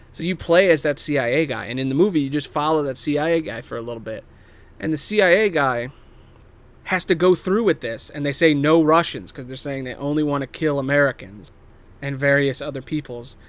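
A man hosting solo in a casual, unscripted ramble, swearing freely and leaning excitedly on his words. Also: on the high side. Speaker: host.

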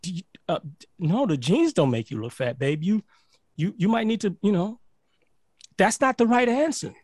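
A man's voice: sits high, normal speed, no room sound, clean studio sound. A man from Barbados, a podcast guest, telling stories in casual chat with lots of restarts and "like". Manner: animated storyteller, repeats words and says "like" often.

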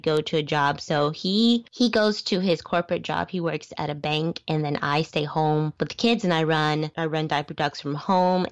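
A woman's voice: lowish voice, clean tone, no echo. A woman with a youthful-sounding voice, chatting casually as a podcast guest, restarting words and laughing often. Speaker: guest, small business founder. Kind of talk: podcast interview, casual storytelling.

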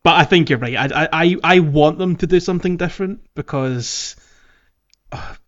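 A man hosting a casual podcast discussion, repeating words haltingly as he thinks, on a slightly dull recording. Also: fairly high pitch. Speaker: podcast host.

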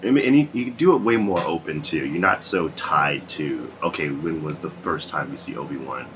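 Low-voiced man, relaxed, noisy dull sound. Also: moderate pace. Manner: relaxed, agreeable, frequent affirmations. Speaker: podcast co-host. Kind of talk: casual pop-culture chat.

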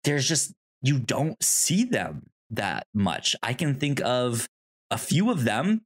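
A podcast co-host casually discussing films and comics in a halting way, often repeating sounds and words. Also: medium pitch, sing-song. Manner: halting, frequent stammered repetition of sounds and words.

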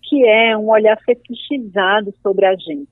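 A woman's voice: mid-range voice, fairly even intonation, measured pace, no room sound. A young woman from Paraíba with a Northeastern Brazilian accent, speaking thoughtfully as an interview guest and building careful arguments. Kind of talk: reflective conversation. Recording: dry tinny phone-like call audio.

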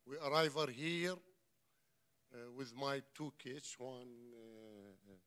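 An older man from Iraq with an Iraqi Arabic accent and Arabic as his first language, speaking in halting, broken English as a church guest sharing his testimony. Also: mid-range voice, pushed and bright, smooth. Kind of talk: testimony interview.

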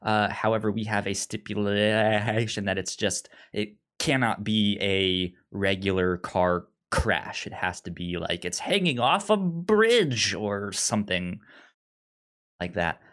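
A man's voice: on the low side, slow pace, fairly bright tone. A man co-hosting a podcast in casual, unscripted chat, hesitant and often stammering through repeated false starts. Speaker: podcast co-host. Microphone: remote online call.

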